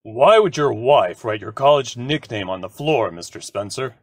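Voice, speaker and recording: A man's voice: medium pitch, expressive, normal speed, no echo, clean studio sound, natural voice. A man acting a polite, matter-of-fact police lieutenant in an old-time radio drama, with formal questioning delivery. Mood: official, probing.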